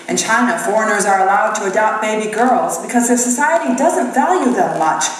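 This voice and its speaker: A woman reporter reading a scripted news narration. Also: mid-range voice. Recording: very echoey playback, far from mic.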